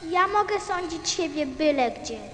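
A woman's voice: extremely high pitch, lively intonation, measured pace, fairly bright tone, full character voice. A woman playing a curious, frank little boy prince in a radio play, polite and questioning. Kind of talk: theatrical radio play acting. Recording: long reverb, music clearly behind.

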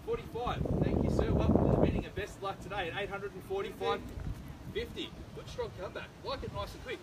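An Australian man in his thirties working as an auctioneer, running a live property auction with brisk, persuasive sales patter on a suburban street. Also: high voice, huge pitch swings. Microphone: far camera, no microphone. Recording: distant outdoor camera audio, loud street noise.

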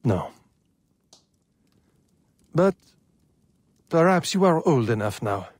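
An actor playing a doting older gentleman father in a video game, with a gentle, formal, measured manner. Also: a little high, very animated, husky, no room sound, heavily acted. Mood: fond, concerned. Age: middle-aged or older. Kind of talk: video game cutscene acting.

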